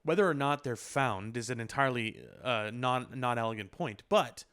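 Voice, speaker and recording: A man's voice: medium pitch, moderate pace, fairly bright tone, smooth. Man podcast co-host, casual and discursive. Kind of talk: casual pop-culture discussion.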